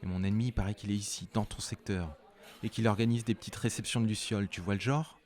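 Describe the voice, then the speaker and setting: A man plays a strong military captain with noble authority in an audio drama. The voice sits low. He speaks bluntly and colloquially, leaning on people with threats. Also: steady tone, fast talker, darkish tone, lightly acted. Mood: firm, threatening. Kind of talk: acted dramatic dialogue.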